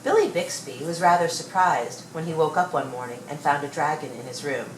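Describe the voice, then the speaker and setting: Female storybook reader, low register, expressive measured delivery, roomy sound with faint background noise. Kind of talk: read-aloud children's story narration.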